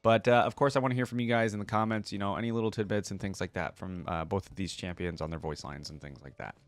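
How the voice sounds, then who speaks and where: A man's voice: fairly low pitch, fairly even intonation, fast talker. A man giving casual, musing reaction commentary as the host of a video.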